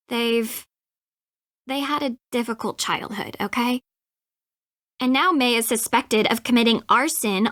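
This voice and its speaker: The prickly young American sidekick in a point-and-click mystery game, sarcastic and defensive, fairly high voice in a bone-dry booth. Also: female voice, fairly bright tone, no room sound. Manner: sarcastic, clipped, emphatic. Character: snippy, defensive young woman, grudgingly asking for help. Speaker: video game character, voice actress. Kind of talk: acted dialogue in an adventure game.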